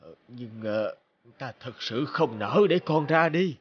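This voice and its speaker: A man voicing an aging, fatherly emperor burdened by war, halting and lamenting, in a cartoon folk tale. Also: mid-range voice, animated, breathy edge, muffled recording. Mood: reluctant.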